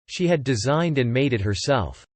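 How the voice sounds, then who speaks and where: A man narrating fiction from a script as an audiobook narrator, in a measured, descriptive way. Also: medium pitch, lively intonation, no echo.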